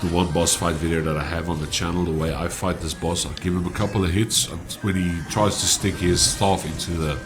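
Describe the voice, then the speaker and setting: A man from with a stuffy cold voice, giving relaxed gameplay walkthrough commentary. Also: low voice, brisk, hardly any pauses, noisy background. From South Africa. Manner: relaxed, explanatory, with frequent "uh" fillers. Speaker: gaming channel host.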